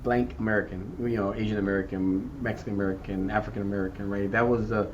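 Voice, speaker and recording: A man from South Texas answering interview questions thoughtfully, often repeating words and pausing with "um" as he searches for the right term. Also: lowish voice, level tone. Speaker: school district equity chief. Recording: muffled, distant, faint background noise.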